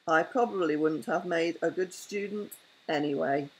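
A woman's voice: low voice, moderate pace, darkish tone, slightly rough, poor audio. A grandmother voicing a gloomy, self-pitying donkey in a bedtime storybook reading. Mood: glum.

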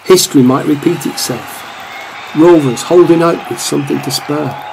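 Male narrator for radio play: actor as devoted, wry football fan, gravelly voice, slight background noise. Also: a little high, normal speed. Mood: dry commentary. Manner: wry, commentary-like storytelling.

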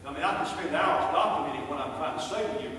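Echoey, high-pitched older male preacher. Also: quick pace, a bit bright. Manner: emphatic, repeats key phrases for weight. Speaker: pastor preaching. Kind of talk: sermon to a congregation. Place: church sanctuary, indoors. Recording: very echoey, distant hall recording with noise.

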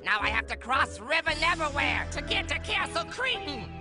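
A woman's voice: very animated, normal speed. A woman voicing a cocky, bratty cartoon gamer villain with a scornful whine. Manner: scornful, shrill whining. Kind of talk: animated TV series acting. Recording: loud soundtrack music behind.

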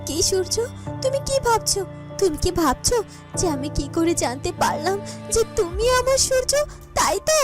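A woman's voice: very high-pitched, big pitch swings, moderate pace. A woman playing a devoted, emotional young heroine in a melodramatic fairytale cartoon, earnest and full of feeling. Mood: concerned. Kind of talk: melodramatic Bengali cartoon voice acting. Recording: music behind the voice.

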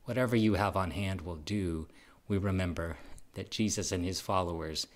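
A man with a deep voice addresses a congregation as its pastor. He speaks plainly, in measured and deliberate short phrases, as in an invitation during worship.

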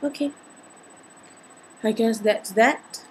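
A woman in her twenties with a Singaporean accent and a slightly husky voice, chatting playfully through a casual makeup tutorial. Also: on the high side, slow pace, darkish tone, a little hiss or hum, poor audio. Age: 20s. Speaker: beauty vlogger. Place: indoors, small home room.

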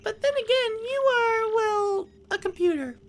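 Very high-pitched dainty princess. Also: female voice, unhurried, background noise. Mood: bewildered, earnest. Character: polite, bewildered young storybook princess. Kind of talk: fan dub of a video game.

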